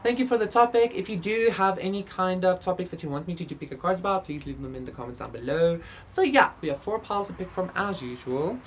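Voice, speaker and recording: A woman's voice: fairly low pitch, animated, quick pace, slight background noise. A woman, an Afrikaans speaker, giving a casual tarot reading in a chatty way, often ending thoughts with "okay?". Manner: chatty, lots of "like" and "okay?" tags. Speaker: tarot reader.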